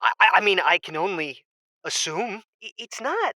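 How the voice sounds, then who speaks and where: Man acting stammering anxious husband, animated and bright. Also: high-pitched, normal speed, no echo, studio quality, heavily acted. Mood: uneasy, hurt. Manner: stammering, agitated. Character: anxious suspicious husband calling a radio advice show. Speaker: caller. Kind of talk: comedic audio drama dialogue.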